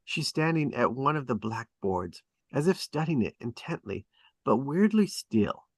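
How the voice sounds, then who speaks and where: A man's voice: mid-range voice, big pitch swings, a little slow, breathy edge, no echo, studio quality. A man reading stage directions at a drama table read, with emphatic, clipped and dramatic phrasing.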